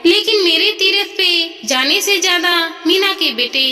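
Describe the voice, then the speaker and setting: High bright voice, woman narrator playing a gentle elderly mother, self-sacrificing, earnest, moral storybook tale. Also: unhurried, exaggerated voice. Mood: earnest, resolute. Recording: long reverb, music behind.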